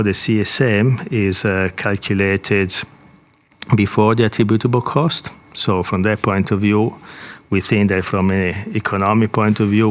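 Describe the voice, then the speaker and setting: A man explaining figures as an executive presenter to analysts, hesitating often and repeating words as he goes. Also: lowish voice.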